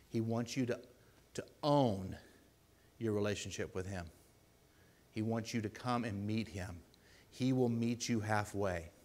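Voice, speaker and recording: A man with a deep voice preaching a sermon in a church, earnest and pleading, with loud emphatic bursts. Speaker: lay preacher.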